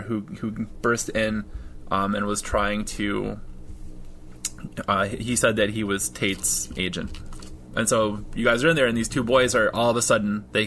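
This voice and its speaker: A man narrating a tabletop roleplaying game as game master in an informal, halting, amused way. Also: fairly low pitch, fairly bright tone, slight background noise.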